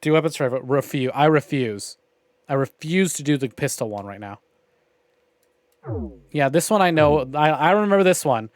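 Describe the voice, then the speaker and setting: A man's voice: fairly high pitch, lively intonation, normal speed, pauses often, dry recording. A man giving casual, opinionated livestream commentary on a visual novel game, with wry, profane grumbling. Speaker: game streamer.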